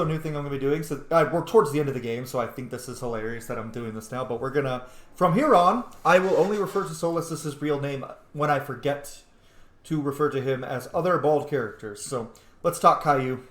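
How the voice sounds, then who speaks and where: A man doing casual gameplay commentary as a streamer, chatty and playful, often correcting himself. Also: medium pitch. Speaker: video game streamer. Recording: echoey room.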